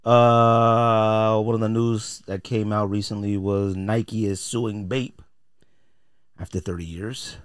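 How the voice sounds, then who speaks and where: An American man with a low voice, recorded close and dry. He talks like a podcast host sharing opinions, informal and full of slang. His delivery is blunt and conversational. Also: little pitch movement, takes it slowly, smooth, no echo. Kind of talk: casual opinionated podcast talk.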